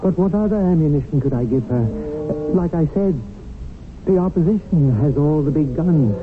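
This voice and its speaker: A man's voice: on the high side, narrow, tinny audio, close to an everyday voice. A man playing an earnest, worried guardian spirit in a 1970s radio drama, rueful and confiding. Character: earnest, worried male guardian spirit telling his story. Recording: thin 1970s radio recording with music. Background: music.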